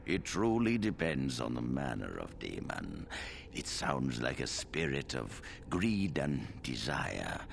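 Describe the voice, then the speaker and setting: Very deep, gravelly, grave mage. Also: male voice, very animated, slow pace, slight background noise, full character voice. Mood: grave, cautioning.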